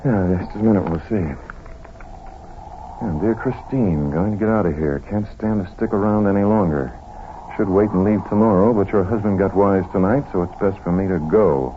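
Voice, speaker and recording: Low-voiced, methodical American detective played by a man. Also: natural voice. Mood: grave, businesslike. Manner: brisk, deliberate, authoritative. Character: calm methodical male doctor detective taking charge. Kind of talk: 1940s radio acting. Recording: muffled, noisy vintage radio recording.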